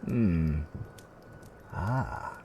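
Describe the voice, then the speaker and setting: A man playing a gentle, courteous tavern keeper in a fantasy roleplay, with soothing, old-fashioned politeness and theatrical hums and pauses. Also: low-pitched, animated, muffled recording, a little hiss or hum, exaggerated voice. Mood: welcoming, musing. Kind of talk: roleplay ASMR character acting.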